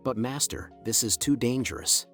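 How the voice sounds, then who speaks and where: A man's voice: mid-range voice, fairly even intonation, normal speed, fairly bright tone, natural voice. Man acting a deferential servant, music behind. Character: deferential, cautious male servant. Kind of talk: dubbed comic-recap character dialogue. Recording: voice over background music.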